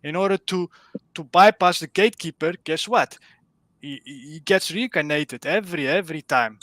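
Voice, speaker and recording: Dutch-accented male caller, fairly high and bright, dry close sound, earnest and groping for words. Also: normal speed, no echo. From Netherlands. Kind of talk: casual explanation on a live stream.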